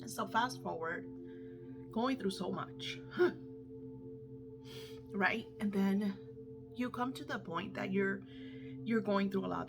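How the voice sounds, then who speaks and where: A woman's voice: fairly low pitch, gravelly. Candid, swearing woman coach over music. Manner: candid and emphatic, swears freely. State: losing her voice. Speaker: manifestation coach. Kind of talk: casual unscripted talk to viewers.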